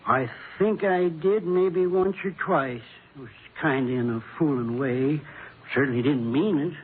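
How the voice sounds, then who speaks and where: An actor playing a bereaved, defensive husband in a vintage radio drama, hesitant and wounded in a plain-folks way. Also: male voice, on the high side, a little slow, close to an everyday voice. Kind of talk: 1950s radio drama acting. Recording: muffled, noisy old-time radio recording.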